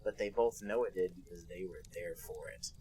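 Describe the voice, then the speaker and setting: Husky deadpan male fanfic reader. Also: sits low, moderate pace. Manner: deadpan, fighting back laughter. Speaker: podcast co-host reading a fanfic aloud. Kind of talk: deadpan comedic reading.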